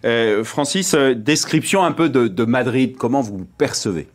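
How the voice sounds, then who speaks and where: A man hosting a studio panel, putting halting questions with repeated words. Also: medium pitch. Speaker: TV host.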